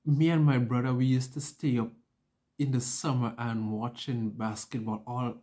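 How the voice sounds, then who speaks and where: A man's voice: medium pitch, flat delivery, normal speed, dark tone. A young man chatting casually to an audience, telling personal stories and acting out what was said. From an island. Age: young adult. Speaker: vlogger. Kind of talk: casual personal storytelling to camera.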